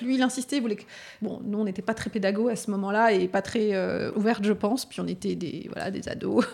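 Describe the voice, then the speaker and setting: A woman's voice: medium pitch, a little mellow. A woman from the Lyon area of France speaks in a casual, reflective conversation, telling personal stories with frequent hesitations. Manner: hesitant, frequent fillers, storytelling. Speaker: podcast guest and speaker.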